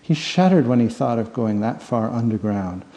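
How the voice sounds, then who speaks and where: A man narrates fiction like an audiobook, with a soft-edged, mellow tone and plenty of gravelly creak. His storytelling is dramatic and expressive. The voice rings with long reverb, as if in a hall. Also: medium pitch, normal speed. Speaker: author reading own novel.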